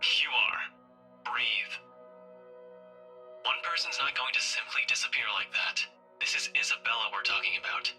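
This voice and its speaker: A very bright, forward male voice plays a young police officer in a visual novel. He is protective and blunt, steadying his friend with reassurance, over faint music. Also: medium pitch, unhurried, long pauses. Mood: concerned, calming.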